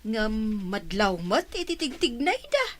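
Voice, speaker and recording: A woman's voice: on the high side, big pitch swings, a little slow. A woman playing a prying, gossipy older landlady in a radio drama, with an Ilocano accent.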